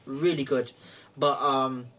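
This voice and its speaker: A man's voice: mid-range voice, fairly even intonation, takes it slowly, sharp tone, recorded from a distance. A young adult man with a London accent hosting a casual talk show, telling stories in slangy, matey banter. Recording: off-mic, background noise and clicks.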